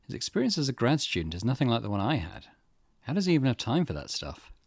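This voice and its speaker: A man talks through a game as the host of a let's play. His delivery is casual, thoughtful and a little halting, with very varied intonation and a close, dry sound at middling pitch. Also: big pitch swings, vocal fry, a little mellow, breathy edge, dry recording. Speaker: gaming video host.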